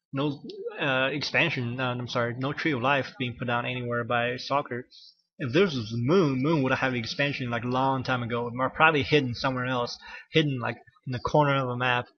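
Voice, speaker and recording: A man's voice: mid-range voice, muffled recording. A man giving solo play-by-play commentary on a strategy game, losing his voice and often correcting himself with fillers. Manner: rambling, self-correcting, frequent fillers.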